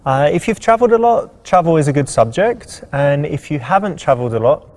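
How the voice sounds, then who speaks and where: A man presenting as a dating coach, commenting casually in a halting, conversational way. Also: fairly high pitch, lively intonation, darkish tone.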